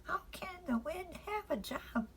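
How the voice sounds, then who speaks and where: A woman's voice: very high-pitched, expressive, a little slow, slight background noise, pitched above the performer's own voice. A woman voicing a timid, fretful young girl full of hesitant questions in a bedtime storybook. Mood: pleading, puzzled.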